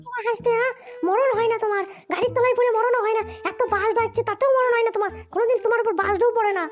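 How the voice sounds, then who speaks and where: A woman voicing a foul-mouthed, nagging young wife in a comedy cartoon, with a West Bengal accent and a pitched-up voice. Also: steady tone, rapid-fire. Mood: scolding, aggrieved. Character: shrill, quarrelsome young wife, defiant and aggrieved. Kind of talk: amateur cartoon comedy squabbling. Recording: dull lo-fi sound, music behind.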